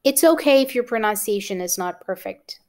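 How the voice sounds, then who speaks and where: A woman's voice: medium pitch, expressive, normal speed. Encouraging Romanian-accented woman teacher. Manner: encouraging, checks in with "okay?". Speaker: English teacher. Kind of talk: exam-prep lesson to camera.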